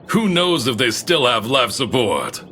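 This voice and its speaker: An actor playing an eager, chatty moon base explorer in an audio drama, musing to himself in an animated way. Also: male voice, mid-range voice, takes it slowly, faint music behind, exaggerated voice. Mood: eager, muttering. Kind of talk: scripted audio drama monologue.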